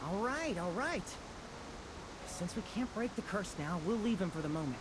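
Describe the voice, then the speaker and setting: A woman's voice: mid-range voice, normal speed, lightly acted. Woman voicing a mischievous, swooping little fox. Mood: matter-of-fact. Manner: teasing, expressive. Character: playful, mischievous little female fox companion. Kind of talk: video game character acting. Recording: hissy background noise.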